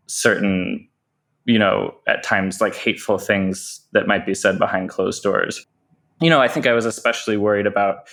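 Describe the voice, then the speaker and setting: A man's voice: lowish voice, fairly bright tone. A man speaking as a playwright in a thoughtful interview, hesitant and often correcting himself as he searches for phrasing.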